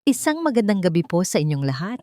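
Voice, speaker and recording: A woman's voice: on the low side, big pitch swings, quick pace, mellow, no echo, studio quality. A woman with a Filipino accent voicing a poised, scheming widow who gives a formal, solemn speech in an audio drama. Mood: solemn, composed. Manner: solemn, confident, formal public address.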